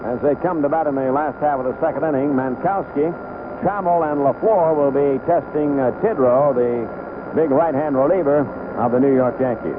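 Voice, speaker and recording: A man calling baseball play-by-play live on the radio in short, unhurried phrases. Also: mid-range voice. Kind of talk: live radio sports commentary. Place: outdoor ballpark broadcast booth. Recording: tinny, noisy old AM radio broadcast.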